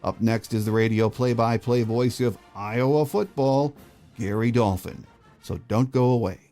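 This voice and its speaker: A man's voice: mid-range voice, a little slow, music in the background. An American man hosting a sports podcast, delivering commentary and interview questions. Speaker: podcast host.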